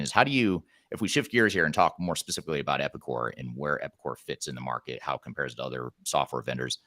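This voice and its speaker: Male podcast host, very low and bright, quick delivery. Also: dry recording, studio quality. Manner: quick, upbeat, with fillers and restarts. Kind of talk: live interview.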